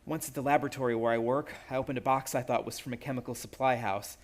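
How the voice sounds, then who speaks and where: A man's voice: medium pitch, steady tone, fast talker, lightly acted. An actor as a tense, haunted young man. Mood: haunted, bitter. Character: proud but nerve-racked young man, a tormented stepson. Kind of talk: suspense radio play acting.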